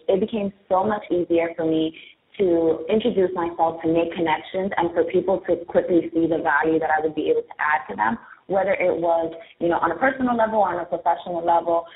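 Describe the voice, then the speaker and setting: A woman's voice: fairly low pitch, steady tone, fast talker, roomy sound. A young woman with a Spanish and New York Bronx accent, candidly explaining branding tips step by step as an interview guest. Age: young adult. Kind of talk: interview answers. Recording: tinny phone-like audio.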